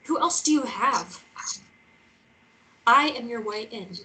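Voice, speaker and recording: A woman's voice: fairly high pitch, animated, takes it slowly, pushed and bright, heavily acted, poor audio. A woman playing a determined, stubborn journalist in a drama read-through, earnest and persistent in her way of talking. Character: determined female journalist, pleading yet stubborn.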